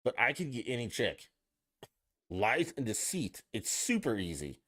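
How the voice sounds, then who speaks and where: A man's voice: medium pitch, big pitch swings, measured pace, a bit bright. A man co-hosting a podcast, asking crude questions and bragging in casual banter, a little tipsy. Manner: brash, joking, boastful.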